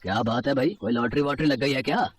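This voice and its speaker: A man's voice: mid-range voice, quick pace, natural voice. Man playing a grumbling greedy male street vendor. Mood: gruff, scoffing. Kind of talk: animated Hindi moral story dialogue.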